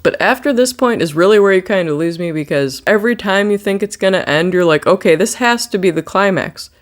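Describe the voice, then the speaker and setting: Horror movie commentator, mid-pitched, casual and sweary, rambling recap style. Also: lively intonation, brisk.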